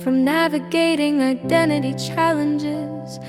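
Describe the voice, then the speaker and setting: High, very slow woman announcer over music. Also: fairly even intonation. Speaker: show intro announcer. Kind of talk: scripted intro narration. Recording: voice over loud music bed. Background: loud music.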